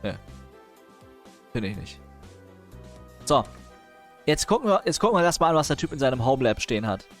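A man's voice: medium pitch, big pitch swings, normal speed, long pauses, fairly bright tone, soft background music. A man streaming casual reaction commentary on videos, impatient and sarcastic, with chuckling asides.

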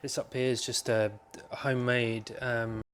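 A British man talks through his home server setup in a casual, hesitant way, filling his sentences with ums and restarts. He speaks slowly at a mid pitch, typical for a man. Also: flat delivery, a crowd in the background. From United Kingdom. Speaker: home lab YouTuber. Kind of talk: casual tech walkthrough.

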